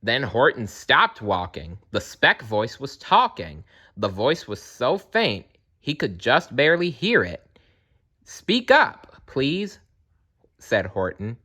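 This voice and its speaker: Animated man reading a storybook aloud. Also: measured pace. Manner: animated, voices characters with big pitch jumps. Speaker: storybook reader. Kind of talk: read-aloud storybook narration.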